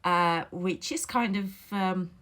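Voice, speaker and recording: A middle-aged woman from Latvia, whose first language is Latvian, speaks English with a Latvian accent touched by Derbyshire English. She talks casually from home and pauses often to choose her words. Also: fairly low pitch, fairly bright tone. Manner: thoughtful, many pauses and fillers, careful phrasing. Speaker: memoir author and vlogger. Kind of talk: casual reflective monologue to camera. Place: home, indoors.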